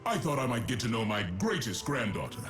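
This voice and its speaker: A man's voice: mid-range voice, sing-song, fast, close to an everyday voice. A man playing a proud ancient emperor in a video game, formal and grand, fond of his descendant in an awkward way. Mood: awkwardly fond. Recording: echoing voice over background music.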